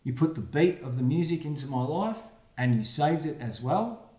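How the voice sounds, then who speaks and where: A man, the book's author, reading his rhyming picture book aloud for children, with quiet asides about the pictures. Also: fairly high pitch, expressive, normal speed. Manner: friendly, reads rhyming verse with quiet asides. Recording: roomy echo.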